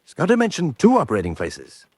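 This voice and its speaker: An actor playing an intense, sharp-minded adventurer hunting spies, in a declamatory old-time radio drama style. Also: male voice, on the high side, wildly swooping pitch, fairly quick, slightly rough, dry recording, heavily acted. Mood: brisk, reasoning.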